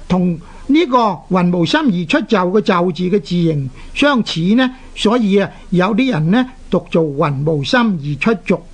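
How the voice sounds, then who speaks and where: A radio language teacher of unclear sex with a Hong Kong Cantonese accent, lecturing deliberately in short phrases with drawn-out particles. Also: mid-range voice. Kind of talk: explanatory radio lecture on Chinese characters.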